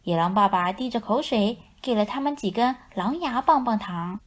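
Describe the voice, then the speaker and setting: 一位女性用富有表现力的方式给孩子朗读绘本故事。. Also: female voice, fairly high pitch, lively intonation, moderate pace. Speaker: storyteller. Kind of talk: expressive children's picture book narration.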